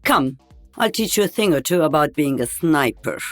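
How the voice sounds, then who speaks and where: Game role: older woman sniper veteran, dry teasing wit, deep female register, animated intonation. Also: normal speed, no room sound, soft background music. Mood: wry. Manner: wry, teasing.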